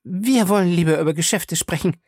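A man voicing a wary, sharp-tongued woman in an audiobook, curt, indignant and suspicious. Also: sits high, sing-song, fairly quick, gravelly, no room sound, clean studio sound, pitched above the performer's own voice, full character voice. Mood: annoyed. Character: wary, sharp-tongued woman guarding her good reputation. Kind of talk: audiobook character dialogue.

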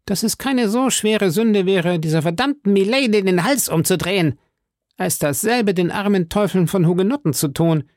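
A man voicing a boisterous, hot-headed musketeer with a loud, exclaiming manner in an audiobook story. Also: high-pitched, expressive, moderate pace, dry recording, clean studio sound, pitched above the performer's own voice. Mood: indignant.

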